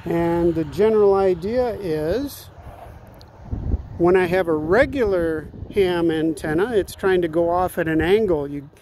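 A man's voice: high voice, unhurried, dark tone. A man, chatting casually and folksily to camera as he explains his radio hobby outdoors. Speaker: ham radio hobbyist vlogger. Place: outdoors in a residential front yard. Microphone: phone at arm's length. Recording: outdoor background noise.

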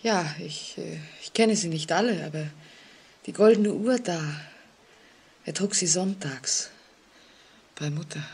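An actress playing a frightened, insistent woman in a vintage German radio play, speaking in a hesitant, halting way. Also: fairly low pitch, creaky, a little mellow, husky, full character voice. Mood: subdued, shaken. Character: frightened, haunted young New York woman, insistent.

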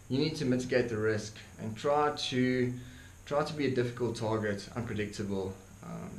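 A young man from South Africa with an Afrikaans accent, Afrikaans his first language, talking earnestly to camera in a dark room, with many ums and repeated words. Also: lowish voice. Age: young adult. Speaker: YouTuber. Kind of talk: opinion monologue to camera. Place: dark indoor room, seated by desk. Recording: echoey room with faint hiss.

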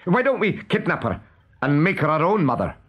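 A man's voice: fairly high pitch, big pitch swings, a little fast, slightly rough, highs cut off, pitched above the performer's own voice. A man voicing a dim, eager, deferential pirate henchman in a children's storybook. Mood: eager to please. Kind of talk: dramatized children's storybook reading. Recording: music behind.

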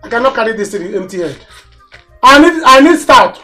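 A woman's voice: a little high, expressive, a little fast, pushed and bright. Self-important nigerian woman, worked up, dramatic, says stuff over and over, faint music behind. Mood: agitated. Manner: dramatic, repetitive. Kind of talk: screen drama acting.

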